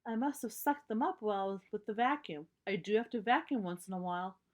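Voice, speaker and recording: A woman's voice: medium pitch, lively intonation, brisk, close to an everyday voice. A woman voicing a cheerful, easygoing mother bear in a children's storybook. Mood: cheerful, brisk. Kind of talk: children's storybook read-aloud.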